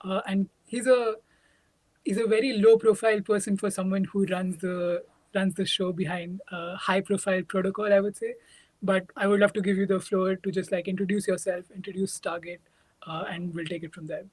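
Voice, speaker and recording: A man's voice: high-pitched, level tone, normal speed, slightly rough, no room sound, low-quality recording. A man hosting a casual interview, peppering his questions with "like". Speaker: podcast host, interviewer.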